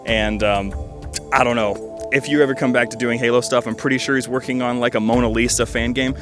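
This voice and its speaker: Male game video host, twenties, casual and rambling, enthusiastic, lower-pitched middle register, clear music behind him. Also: steady tone, brisk. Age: 20s. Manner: rambling, enthusiastic, jokey asides. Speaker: video host reviewing fan games. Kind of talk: casual commentary.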